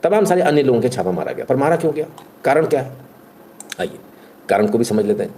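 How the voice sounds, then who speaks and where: A man's voice: medium pitch, big pitch swings, quick pace, dark tone, a little hiss or hum. A man hosting live news commentary, speaking emphatically and colloquially with rhetorical questions and bits of English mixed in. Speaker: live commentary show host.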